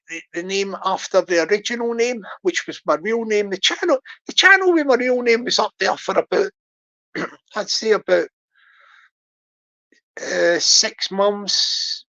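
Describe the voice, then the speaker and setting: A man's voice: high voice, lively intonation, normal speed, sharp tone, dull audio. An older man with a Scottish accent, hosting his own channel and chatting casually to viewers on a livestream, rambling and repeating words as he restarts his sentences. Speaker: YouTube channel host.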